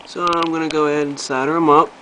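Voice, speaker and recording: A man's voice: fairly high pitch, flat delivery, fast talker, sharp tone, slight background noise, poor audio. A man talking informally and thinking aloud as a hobbyist doing a hands-on electronics repair, filming himself with a handheld camera. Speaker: hobbyist repairing vintage audio gear. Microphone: handheld camera he operates himself.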